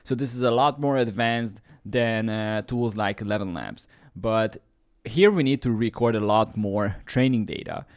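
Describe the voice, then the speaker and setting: A man's voice: fairly low pitch, unhurried. A man whose first language is Hungarian, hosting a casual screen-share tech tutorial, talking loosely with many fillers and restarts. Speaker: YouTube tech host.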